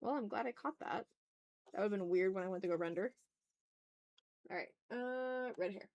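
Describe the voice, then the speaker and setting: A woman's voice: mid-range voice, moderate pace, darkish tone, highs cut off. A woman hosting a live art stream, chatting casually and talking herself through her work with repeated short phrases and mild exclamations. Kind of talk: casual livestream chat, muttering to self while drawing.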